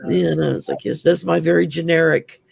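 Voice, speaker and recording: A man with a US Southern accent talks in a relaxed, chatty way, sharing stories as a guest. His voice is growly and heavily gravelly, pitched on the higher side for a man, with a husky, raspy edge. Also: a little fast, no echo. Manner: chatty, open, storytelling. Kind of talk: casual chat.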